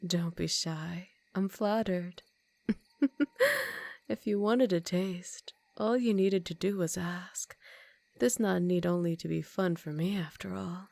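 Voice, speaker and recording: Woman playing a vampire countess for ASMR roleplay: lower-pitched, predatory, dominant, teasing, slipping into whispers, very dry close sound. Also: fairly even intonation, creaky, mellow, dry recording. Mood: seductive, amused. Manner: slow teasing, dominant purr, often dropping into whispers. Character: seductive, commanding female vampire countess, ancient and predatory.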